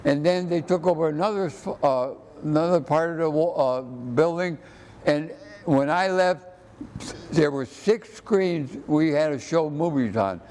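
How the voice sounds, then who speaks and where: An older American man reminiscing conversationally as an interview guest, halting and often repeating words. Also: on the high side, a little mellow.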